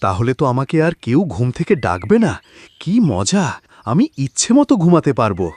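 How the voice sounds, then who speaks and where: A man voicing a lazy, sleepy, good-natured farmer in a cartoon moral story, with whiny, drawn-out exclamations. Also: mid-range voice, sing-song, darkish tone. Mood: gleeful. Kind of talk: animated moral story voice acting.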